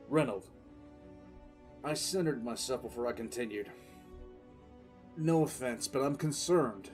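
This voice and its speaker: A male actor voices a seasoned, cool-headed military commissar in an audio drama. He recounts events with weary deliberation and dramatic pauses, at a middling pitch. Soft music plays faintly behind him. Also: close to an everyday voice. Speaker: narrator and lead voice actor. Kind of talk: dramatic first-person audio drama narration. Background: faint background music.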